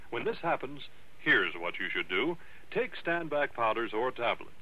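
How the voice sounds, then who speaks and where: An American man reading a scripted mid-century radio advertisement. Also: mid-range voice. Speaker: commercial announcer. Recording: dull vintage radio recording.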